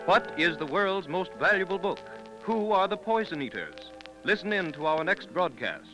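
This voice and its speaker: A man's voice: high voice, big pitch swings, moderate pace, music in the background, low-quality recording. A man announcing in a persuasive, emphatic 1930s radio commercial style, speaking straight to the listener. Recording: old 1930s radio broadcast recording.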